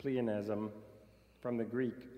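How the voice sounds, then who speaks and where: A man's voice: lowish voice, fairly even intonation, slow pace, warm tone, big-room sound. A man playing a fussy, pompous language pedant who lectures in a precise manner, in live radio comedy. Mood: prissy. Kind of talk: radio comedy acting before a live audience.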